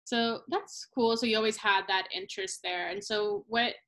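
A woman's voice: on the high side, animated, normal speed, gravelly, a bit bright. A young woman interviewing a student over a webcam video call from a small room at home, asking friendly, rambling questions. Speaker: interviewer for language school. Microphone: laptop webcam on video call.

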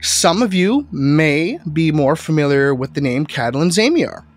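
A young man co-hosting a podcast interview, congested while recovering from an illness, speaking haltingly with fillers into a headset microphone in a home studio. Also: fairly high pitch, animated. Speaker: podcast co-host.

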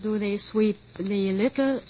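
An actress playing a wary, protective European wife in a radio drama. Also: female voice, medium pitch, unhurried, darkish tone, lightly acted. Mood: guarded. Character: wary, protective European scientist's daughter-in-law. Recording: dull, faintly hissy old radio recording.

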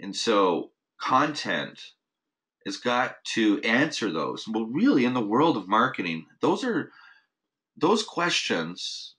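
A man's voice: medium pitch, sing-song, takes it slowly, a bit bright. A man hosting a weekly marketing update, speaking off the cuff with frequent restarts and fillers on a recorded member call. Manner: casual, many restarts and "you know" fillers. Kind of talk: unscripted webinar talk.

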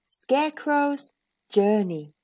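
High-pitched, animated woman reading storybook. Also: takes it slowly. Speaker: children's storytime host and reader. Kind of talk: expressive picture-book narration for children.

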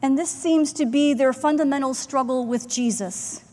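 A middle-aged woman pastor giving a sermon from the pulpit in a church sanctuary, measured and earnest, with deliberate pauses. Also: high voice, darkish tone. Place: church sanctuary, in front of the chancel. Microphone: pulpit microphone, far camera. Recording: long church hall reverb.

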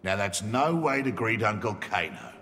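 An actor playing a cocky, sleazy mercenary crime boss in a video game, with a rough Australian accent and a taunting manner. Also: male voice, on the low side, big pitch swings, normal speed, full character voice. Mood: sardonic. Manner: taunting, sardonic. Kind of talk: video game character banter. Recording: game audio over loud ambience and music.

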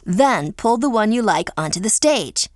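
A woman voicing a friendly teenage high school girl who gives clear, upbeat instructions in a dance video game. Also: lively intonation, normal speed, dry recording, clean studio sound, lightly acted. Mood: upbeat, helpful.

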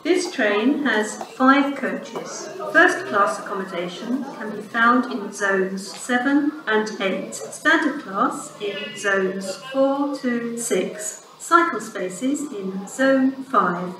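A woman giving automated railway station announcements in a measured, formal way, played over loudspeakers. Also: mid-range voice, lively intonation, noisy background. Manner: measured, formal, clearly spaced words. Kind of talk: recorded public announcements. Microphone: station loudspeakers recorded from far off. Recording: echoey platform speakers from far off. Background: station ambience.

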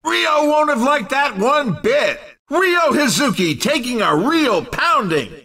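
A man giving excited video game race commentary in punchy, exclamatory short calls. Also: very high voice, slow, vocal fry, distant mic.

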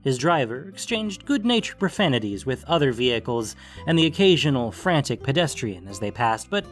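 A man's voice: mid-range voice, sing-song, normal speed. A man reading scripted fiction as an audiobook-style narrator. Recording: music bed under the voice. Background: guitar music.

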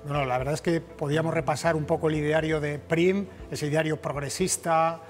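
TV host, man in his 40s, slightly high voice, conversational questions, faint music. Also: steady tone, brisk. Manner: leading questions, conversational interjections. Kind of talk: hosting a studio discussion. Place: TV studio.

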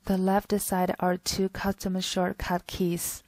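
A woman's voice: lowish voice, monotone, slow, darkish tone, no echo. A woman reading a scripted product tutorial in a stilted, phrase-by-phrase way. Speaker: product demonstrator.

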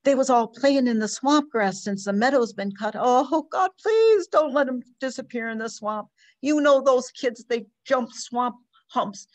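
Woman playing a frantic farm mother, high pleading voice, loud and breathless, dry close sound. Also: unhurried, no echo. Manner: pleading, breathless, rising to wails. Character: frantic mother in her thirties, panicked, wailing.

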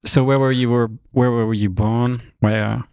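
A man's voice: mid-range voice, fairly even intonation, unhurried, a little mellow, smooth, dry recording, clean studio sound. A man hosting a podcast, asking questions in a friendly, loose way with lots of fillers. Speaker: podcast host. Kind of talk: casual interview conversation. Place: studio room with table. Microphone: studio mic with headphones.

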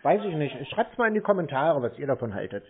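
A man's voice: on the high side, very animated, fast. A man chatting casually on a phone call, teasing in a dry, laid-back way. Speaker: scam-baiting YouTuber on a phone call. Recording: muffled narrow-band phone call audio.

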